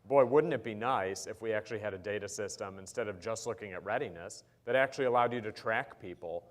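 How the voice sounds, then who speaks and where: A man on a panel, explaining policy in a measured way with frequent ums and uhs. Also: fairly low pitch. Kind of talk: panel discussion answer.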